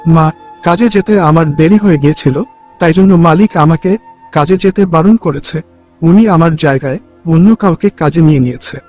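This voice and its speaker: Man acting poor young day labourer, highish voice, earnest, respectful, cartoon dialogue, faint music. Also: a little fast, a little mellow, lightly acted. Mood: tired, apologetic.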